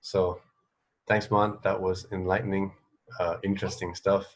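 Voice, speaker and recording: A man with a fairly low voice hosts an informal radio chat. He phrases things loosely and hesitantly, with a modest, self-effacing manner. The delivery is conversational throughout. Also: flat delivery, moderate pace. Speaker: radio show host, interviewer. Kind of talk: casual, informal radio interviewing.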